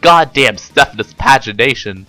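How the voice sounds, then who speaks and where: A man's voice: medium pitch, animated, moderate pace, sharp tone, dry recording, soft background music. A man voicing a defiant, foul-mouthed pony in an indignant, blunt, emphatic manner for a story reading. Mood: defiant, angry. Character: defiant, foul-mouthed male pony, irritated by pompous arbiters.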